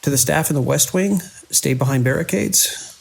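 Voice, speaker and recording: An actor playing a beleaguered, idealistic hospital director in a horror audio drama, speaking earnestly and urgently in halting phrases. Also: male voice, on the high side, vocal fry, breathy edge. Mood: resolute, urgent.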